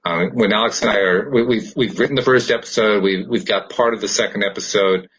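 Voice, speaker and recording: A man's voice: fairly low pitch, fairly quick, a bit bright, a little hiss or hum, rough recording. A man hosting a podcast, chatting casually and explaining his thoughts in an earnest way. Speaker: podcast host. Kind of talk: casual conversational podcast chat.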